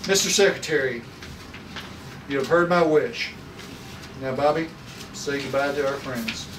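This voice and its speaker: A man's voice: medium pitch, unhurried, close to an everyday voice. A man reading a solemn, formal professor and guardian at a script table read, in a stately, declamatory manner. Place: room at a group table read. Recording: echoey noisy room, far from mic.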